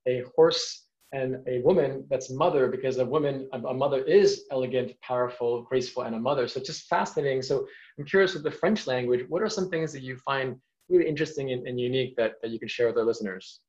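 A man's voice: medium pitch, quick pace, darkish tone. A man from New York hosting a podcast interview, asking enthusiastic, rambling questions. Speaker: podcast host. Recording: dull lo-fi sound.

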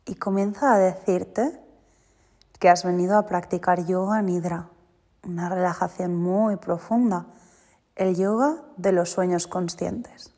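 Very slow-paced woman guiding meditation in reverb. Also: fairly low pitch, steady tone, dark tone. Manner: soothing, deliberate, short spaced instructions. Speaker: yoga teacher guiding a relaxation. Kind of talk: guided yoga nidra meditation. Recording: long reverberant hall-like echo.

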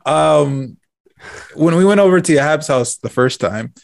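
Animated man with very lively pitch. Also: a little slow, gravelly, no room sound. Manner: jokey, acts out quoted exchanges. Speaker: podcast co-host. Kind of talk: casual chat.

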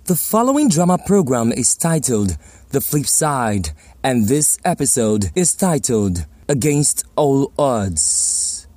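A man's voice: mid-range voice, big pitch swings, slow, mellow, faint music behind. A man formally announcing the title of a radio drama in a scripted style. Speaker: programme announcer.

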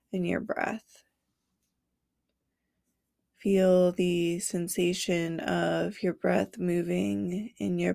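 A woman with a stuffy nose from a cold leads a guided meditation in an unhurried, soothing way. Her voice has a gravelly fry and stays on a narrow, even pitch. The recording is close and dry. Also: dry recording. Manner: slow, soothing guidance with long pauses. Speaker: podcast host and meditation guide.

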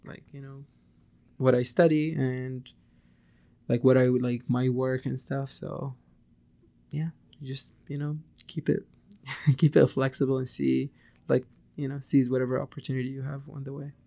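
A man's voice: medium pitch, flat delivery, normal speed, creaky, mellow. A young man from Syria chatting casually as an interview guest, in a hesitant, modest way. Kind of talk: casual chat.

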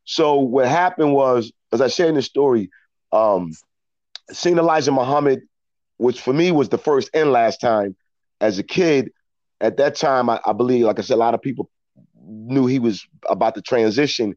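A middle-aged American man telling reflective personal stories as an interview guest, in a halting way, often repeating words as he thinks. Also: medium pitch, darkish tone, dry recording, dull audio. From Philadelphia, United States. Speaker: interview guest, actor and storyteller. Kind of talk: reflective personal storytelling in conversation.

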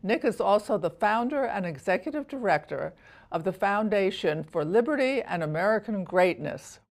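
A woman's voice: mid-range voice, lively intonation, moderate pace. A woman hosting an interview podcast, introducing her guest with enthusiasm. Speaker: podcast host.

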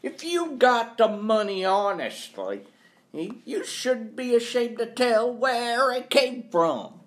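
A man's voice: very high-pitched, very animated, takes it slowly, voice pushed up, heavily acted. A man voicing a blustering, accusing male employer in a storybook, with a harsh, sneering delivery. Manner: sneering, forceful, badgering.

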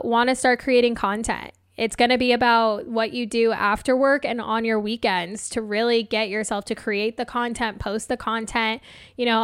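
A woman hosting a solo motivational podcast as a coach, chatting casually and encouragingly, her voice slightly hoarse. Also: on the high side, no room sound, clean studio sound. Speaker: podcast host and life coach.

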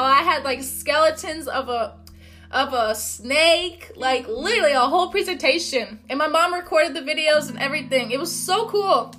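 A loud, upbeat woman, hosting her own motivational podcast. Her voice sits high and bright, and she talks in an encouraging, casual way. Soft guitar music plays faintly behind her. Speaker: podcast host. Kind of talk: motivational solo podcast talk.

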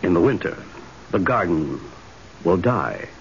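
An actor playing a calm, authoritative doctor in an old-time radio drama, measured and explanatory. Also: male voice, sits low, creaky, heavily acted. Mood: calm, grave. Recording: hissy, muffled old radio broadcast.

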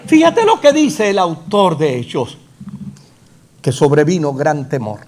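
A man's voice: on the high side, huge pitch swings, measured pace, a little mellow. A man with a Puerto Rican accent preaching a sermon, building emphatic repetitions and rhetorical questions into fervent peaks. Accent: Puerto Rican Spanish. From Puerto Rico.

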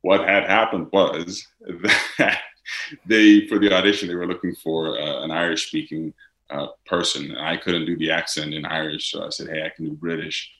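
Deep-voiced Dutch-born actor, bright tone. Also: male voice, normal speed, echoey room, low-quality recording. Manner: relaxed, rambling, with many fillers. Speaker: actor, interview guest. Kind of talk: casual interview chat.

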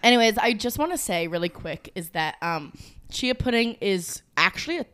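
A young woman with a stuffy, congested voice jokes through a casual podcast chat, her pitch bouncing all over. She is quick to laugh and speaks on a dry, close mic. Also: animated, fairly bright tone, clean tone, no echo. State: stuffy nose. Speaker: comedian podcast co-host.

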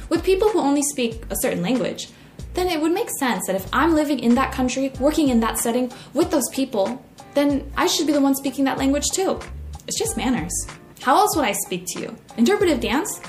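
Expressive young American woman, high lilting voice. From United States. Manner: expressive, acts out quoted remarks. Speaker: podcast host. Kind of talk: scripted personal essay narration. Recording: roomy echo, faint music.

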